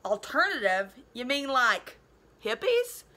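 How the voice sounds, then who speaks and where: Woman reading as a sceptical, high-voiced police officer. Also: sing-song, takes it slowly, bright, forceful tone, exaggerated voice. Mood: incredulous. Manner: questioning, disbelieving. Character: sceptical, incredulous male police officer questioning a teenager.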